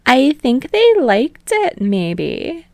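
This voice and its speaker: A woman doing a gushing, high-voiced talk show host. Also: huge pitch swings, gravelly, darkish tone, dry recording, exaggerated voice. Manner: gushing, drawn-out, playful. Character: bubbly young female talk show host. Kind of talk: fan fiction audiobook reading.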